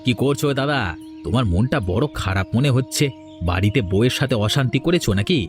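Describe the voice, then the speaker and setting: A man's voice: medium pitch, expressive, quick pace, lightly acted. A man playing a concerned younger brotherly villager in an audio melodrama. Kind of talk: melodramatic Bengali audio story acting. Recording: music clearly under the voice.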